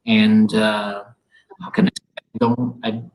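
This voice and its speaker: A man with a Brazilian accent hosts a conversation over a video call from his home. His voice sits on the low side, and his English comes out halting as he searches for words, with frequent laughs. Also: level tone, a little mellow, low-quality recording. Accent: Brazilian Portuguese. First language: Portuguese. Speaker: host. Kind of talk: online conversation, relaying audience comments.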